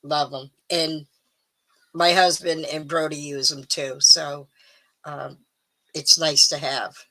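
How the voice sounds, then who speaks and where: A middle-aged woman hosting a live stream, chatting casually and rambling with ums, a little hoarse. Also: low voice, flat delivery, slow, pauses often, pushed and bright. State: slightly hoarse. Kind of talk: casual chat to viewers.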